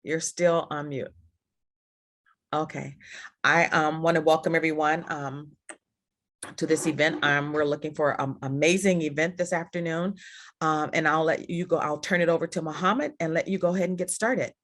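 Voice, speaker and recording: A woman's voice: deep voice, steady tone, moderate pace, fairly bright tone. A woman organizer welcoming attendees to an online event over a video call, hesitant with many fillers. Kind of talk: welcoming remarks.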